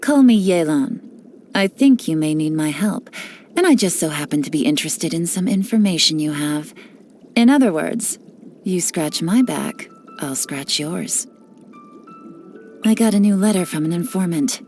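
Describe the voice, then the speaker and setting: A sly, teasing game spy, low voice, relaxed and in control, faint music under it. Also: female voice, unhurried. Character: cool, teasing female spy, sly and unhurried. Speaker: game character voice lines. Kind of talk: studio game voice-over.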